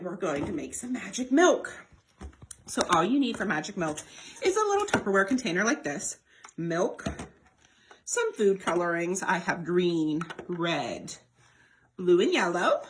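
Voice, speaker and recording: Woman leading storytime, very lively pitch. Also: unhurried, pauses often, slight background noise. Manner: sing-song, prompting young viewers with questions. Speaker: children's storytime presenter at a library. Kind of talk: children's lesson with repetition and demonstration.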